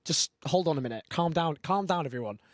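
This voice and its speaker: A man in his thirties hosting a live chat show, with a husky voice and a rambling, self-mocking way of talking. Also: fairly high pitch, expressive, quick pace, no echo, studio quality. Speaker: host.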